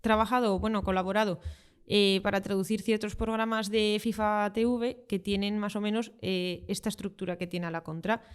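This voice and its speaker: A young woman talks through her translation work in an easy, conversational way. Her voice is smooth and clear, at a middle pitch. She pauses on fillers while she gathers her thoughts. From Spain. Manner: relaxed, explanatory, with frequent fillers. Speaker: guest, football translator. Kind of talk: conversational interview answers.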